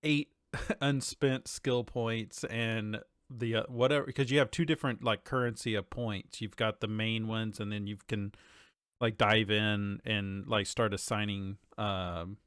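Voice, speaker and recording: A man's voice: lowish voice, steady tone, unhurried, fairly bright tone, smooth, dry recording, clean studio sound. A man co-hosting a podcast, talking casually and conversationally about video games. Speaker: podcast co-host.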